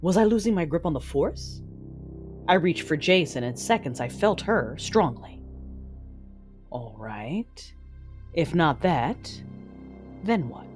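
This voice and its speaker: A woman's voice: sits low, sing-song, slow, long pauses, a little mellow, heavily acted. A woman playing a brooding, menacing Sith lord, narrating her own story in an audio drama. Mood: uneasy, searching. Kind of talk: first-person audio drama narration and dialogue. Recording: dramatic music underneath.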